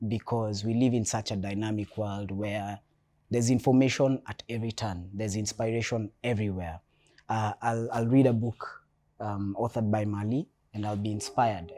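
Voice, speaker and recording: A Kenyan man from Nairobi speaking as a guest on a panel, measured and reflective, often restarting his sentences. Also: fairly low pitch, a little mellow. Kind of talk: panel discussion. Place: hotel event space.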